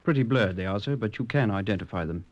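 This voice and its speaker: A low male voice on a muffled vintage radio recording. a police technician, methodical and deferential, who lays out details precisely. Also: animated, quick pace, darkish tone. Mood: precise, explaining. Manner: precise, explanatory. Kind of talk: old radio drama acting. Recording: dull, lo-fi old radio recording.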